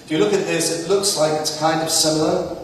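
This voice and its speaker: A man presenting to a conference audience, projecting loudly and filling pauses with ums. He sounds distant from the mic, and his voice rings through a large hall with a long echo. A faint hiss sits underneath. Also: on the high side. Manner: loud, energetic presenter with fillers. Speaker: conference speaker. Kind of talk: conference talk on search. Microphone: distant, mic trouble. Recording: echoey hall from far off.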